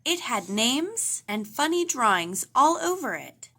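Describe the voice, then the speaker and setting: Animated, bright-voiced woman reading a storybook. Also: high-pitched, measured pace. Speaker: storybook reader. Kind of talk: expressive children's read-aloud narration.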